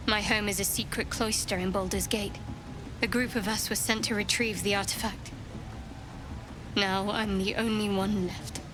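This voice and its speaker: Woman acting young female cleric, guarded, devout, dryly wry, measured game dialogue, noisy background. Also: medium pitch, close to an everyday voice. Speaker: video game companion character.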